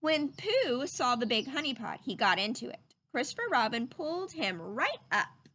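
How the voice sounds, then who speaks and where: A woman reading a story aloud to children like a teacher, with expressive, dramatic emphasis. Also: sits high, moderate pace.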